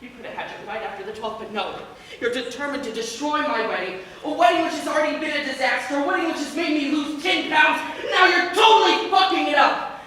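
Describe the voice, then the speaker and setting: A man's voice: extremely high pitch, sharp tone. A man acting on stage as a distraught, hapless man around forty, agitated, repetitive and melodramatic. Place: large echoey room, far microphone. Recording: echoey distant stage recording, noisy.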